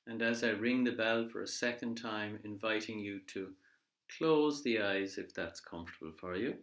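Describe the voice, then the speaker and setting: A man leading a guided body scan meditation in a measured, gently instructive way, leaving pauses between phrases. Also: on the low side. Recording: echoey room.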